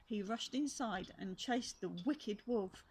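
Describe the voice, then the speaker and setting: An older woman, a grandmother, reads a children's storybook aloud, adding gentle asides for young listeners. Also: medium pitch, slow pace. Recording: faint background noise.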